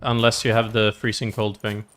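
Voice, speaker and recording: A man's voice: fairly low pitch, flat delivery, normal speed. Man casually commentating gameplay over music. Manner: thinking aloud, talking to self and viewers. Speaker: game streamer. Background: game music.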